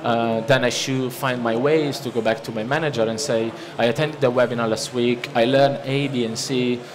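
A man's voice: mid-range voice, steady tone, moderate pace, a crowd in the background. A man in his thirties with an Italian accent, giving a loose, conversational seminar talk from a lectern, full of restarts and fillers. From Italy. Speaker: conference presenter. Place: large conference hall, at a lectern. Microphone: lectern microphone. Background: listening audience.

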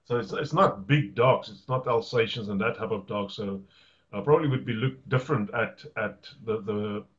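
A man's voice: lowish voice, normal speed, lo-fi sound. A middle-aged man with a South African accent hosting a casual video call, stammering and repeating words. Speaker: host, insurance adviser.

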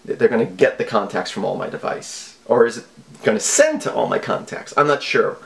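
A man's voice: medium pitch, sing-song, a little fast, creaky. A man in his thirties giving casual, offhand vlog commentary with a wry manner. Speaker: video host. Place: small home office.

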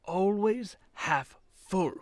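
Excitable old professor guy played by an actor, kinda high voice, really animated, stammery, audio drama. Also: extremely slow, no echo, faint music behind, full character voice. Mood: eager delight. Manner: effusive, stammering with delight. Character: excitable elderly male professor, genial and marvelling.

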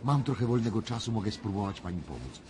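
A solemn, intense clairvoyant. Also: male voice, on the low side, very animated, noisy background. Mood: polite, probing. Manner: deliberate, dramatic, with weighty pauses. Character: male clairvoyant, solemn, intense, mysterious seer. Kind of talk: 1990s radio drama acting.

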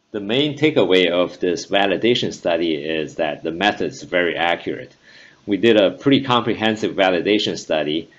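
Low-voiced man presenting technical slides. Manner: measured, frequent "uh" fillers. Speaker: conference presenter. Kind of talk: technical conference talk over slides. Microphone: voice-over for slides. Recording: dull lo-fi voice-over recording.

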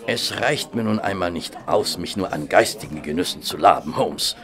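An actor playing a fussy, timid gentleman sidekick in a radio drama, with a blustery, plaintive way of talking. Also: sits low, lively intonation, moderate pace, faint music behind. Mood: hungry, indignant.

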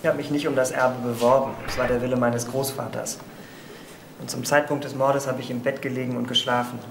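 Actor as defensive young nobleman, mid-pitched. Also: little pitch movement, a little fast, creaky, background noise, natural voice. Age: young adult. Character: young aristocratic heir, defensive murder suspect. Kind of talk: German crime audio drama acting.

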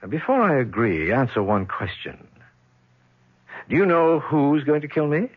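Arrogant, animated American businessman. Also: fairly high pitch, normal speed, breathy edge. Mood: guarded. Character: arrogant, dismissive businessman, male, sardonic and suspicious. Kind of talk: 1970s radio drama acting. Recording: dull vintage radio recording.

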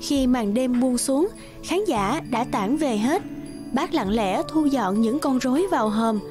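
High-pitched woman storyteller over music. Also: lively intonation, unhurried. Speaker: narrator. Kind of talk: scripted fairy tale narration for children.

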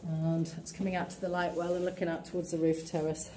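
A woman gives a practical, matter-of-fact tour of an empty townhouse, speaking into a handheld phone. Her voice is low, mellow and fairly flat, and it echoes around the bare rooms over a faint hum of ambience. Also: fast. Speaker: estate agent giving a property tour. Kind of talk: walkthrough tour commentary. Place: empty, run-down townhouse indoors. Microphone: phone camera held while walking.

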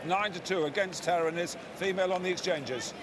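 Man rattling off racing odds. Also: sits high. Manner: brisk listing of prices and placings. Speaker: racing presenter. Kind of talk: betting and results summary. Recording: loud crowd and outdoor noise.

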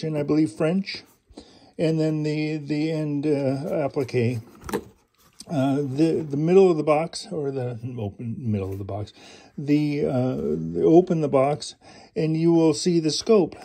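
Halting, gravelly middle-aged man. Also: a little high, mellow. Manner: halting, many fillers and restarts. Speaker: collector showing an air pistol. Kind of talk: casual hands-on show-and-tell. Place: indoors at home, carpeted floor.

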